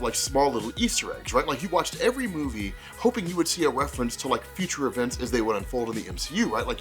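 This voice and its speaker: A man's voice: medium pitch, fairly bright tone. A man with a congested, cold-affected voice giving casual, rambling fan commentary as a video host, repeating himself and peppering his talk with "like". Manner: rambling, conversational, repeats phrases and says like often. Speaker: comics explainer video host.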